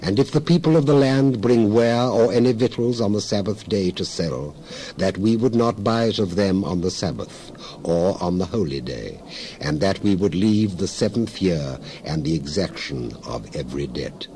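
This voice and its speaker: A man with a fairly low voice narrates scripture in a dramatized style. He moves solemnly and deliberately from phrase to phrase. There is noticeable background noise behind his reading. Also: expressive, warm tone.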